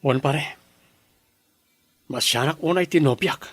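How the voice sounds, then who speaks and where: A man's voice: a little high, a little slow, a bit bright, no echo. An actor playing a troubled young man torn between his girlfriend and his family in a radio drama.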